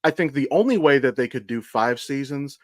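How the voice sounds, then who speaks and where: A man's voice: medium pitch, expressive, brisk, no echo. Man podcasting in a dry, close sound. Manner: sweary, explanatory fan talk. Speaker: podcast co-host. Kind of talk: casual chat, opinionated pop-culture commentary.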